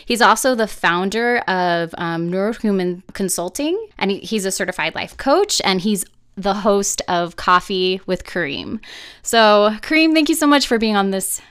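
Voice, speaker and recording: Smooth-voiced upbeat podcast hostess. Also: female voice, medium pitch, animated, moderate pace, fairly bright tone. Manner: eager, upbeat. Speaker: podcast host. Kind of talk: interview hosting.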